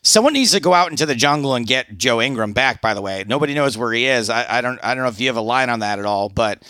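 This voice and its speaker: A man's voice: medium pitch, quick pace, bright, forceful tone, dry recording, studio quality. A man hosting an interview, asking loose questions that often stumble and restart. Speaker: podcast host. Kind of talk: casual interview.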